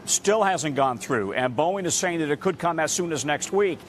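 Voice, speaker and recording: A man's voice: a little high, lively intonation, moderate pace, slight background noise. An American man working as a news correspondent, giving an emphatic, dramatic broadcast report.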